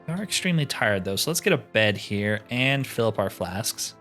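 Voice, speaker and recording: A man's voice: lowish voice, expressive, normal speed, fairly bright tone, faint music behind. A man giving casual commentary as he plays a roleplaying video game. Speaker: gaming video host. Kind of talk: casual roleplay gameplay commentary, reading dialogue aloud.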